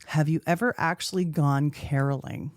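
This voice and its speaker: A woman's voice: low-pitched, fairly even intonation, no echo. Teasing woman on a dry close mic. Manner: quick questions, teasing. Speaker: podcast co-host. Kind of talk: casual chat.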